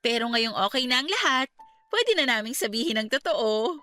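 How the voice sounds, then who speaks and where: A woman playing a caring, excitable young niece, polite and emphatic, in a radio drama. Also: on the high side, normal speed, soft background music. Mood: earnest. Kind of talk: Tagalog radio drama acting.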